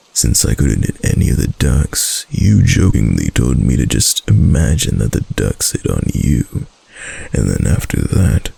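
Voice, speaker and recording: A man playing a doting, possessive kitsune husband in an intimate roleplay ASMR, with a soft, husky, lingering murmur. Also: exaggerated voice. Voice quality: very deep, husky, gravelly.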